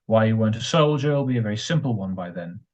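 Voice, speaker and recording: A man playing a calm, blunt, reassuring ex-soldier friend in a radio drama, with a husky voice. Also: lowish voice, quick pace. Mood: firm, frank. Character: steady, reassuring ex-soldier friend, practical and wry. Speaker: amateur radio drama actor.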